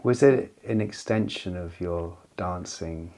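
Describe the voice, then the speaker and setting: Deep-voiced gentle male interviewer. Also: takes it slowly. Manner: gentle, probing, rephrases questions. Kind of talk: conversational interview questions.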